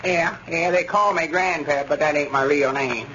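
An actor playing a cranky, blunt old country grandpa in a 1930s radio comedy. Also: male voice, a little high, moderate pace, natural voice. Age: older. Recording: muffled, noisy 1930s radio recording.

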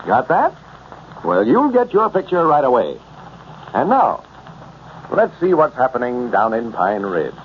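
A male radio announcer reads scripted copy in 1930s style. His pitch moves in an animated way around a middle register. The recording is dull and carries clear noise.

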